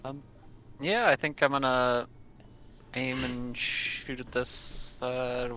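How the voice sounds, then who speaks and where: Male tabletop player, unhurried and hesitant, crisp bright edge, casual chat, slight noise behind. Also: mid-range voice, fairly even intonation, rough recording.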